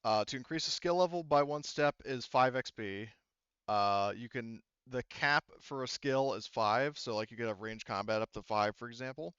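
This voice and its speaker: A man running a tabletop game, explaining its rules in an informal, halting, instructional way. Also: medium pitch, a bit bright, clear, resonant tone, no room sound, lo-fi sound. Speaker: game master.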